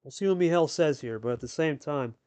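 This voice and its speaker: A male commentator with a voice pitched a bit above average. He hosts and calls a card game like live sport, using colloquial, buddy-to-buddy phrasing. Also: brisk, darkish tone, clean tone. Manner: colloquial, addresses players as buddy. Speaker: play-by-play commentator and host.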